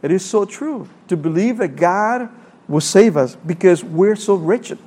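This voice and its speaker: High-voiced preacher with a Salvadoran accent. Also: male voice, expressive, unhurried, warm tone. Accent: Salvadoran Spanish. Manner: earnest, repeats phrases, asks rhetorical questions. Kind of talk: sermon.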